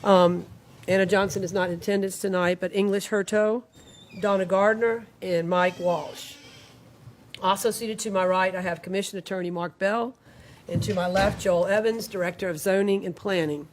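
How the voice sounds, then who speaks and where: A woman's voice: medium pitch, steady tone, normal speed, slight background noise. A woman chairing a county commission meeting, speaking formally into a table microphone with some fillers.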